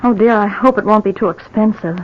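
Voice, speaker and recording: A dull, lo-fi old radio recording of a young wife played by a woman with a medium-pitched voice. She is gentle, affectionate and anxious, tender with a pleading note. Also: brisk, lightly acted. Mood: fretful, affectionate. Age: young adult. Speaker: wife and patient. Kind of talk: 1940s radio drama acting.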